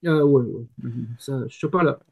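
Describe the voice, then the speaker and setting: A man explaining things as a panelist in casual chat, halting often to search for words. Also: medium pitch, gravelly, a little mellow, muffled recording. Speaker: panelist commenting on the industry.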